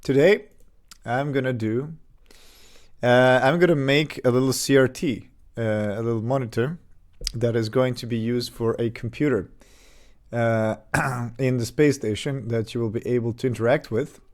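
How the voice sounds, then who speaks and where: A man's voice: mid-range voice, normal speed. A man streaming his game development live, chatting casually with fillers and restarts while getting over an illness with a slight cough. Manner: relaxed, rambling, many fillers and restarts.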